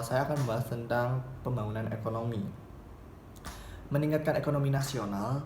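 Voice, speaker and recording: A man's voice: medium pitch, a little mellow. Young man presenting in an echoey room. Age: young adult. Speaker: university student presenting a paper. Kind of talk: semi-formal explanatory class presentation. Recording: roomy echo, faint background noise.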